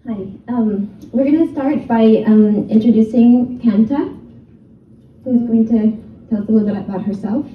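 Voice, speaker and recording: A woman teacher introducing students at a conference presentation, speaking softly and hesitantly with fillers. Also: fairly high pitch, measured pace, slight background noise. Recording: dull, echoey archive clip recorded from far off.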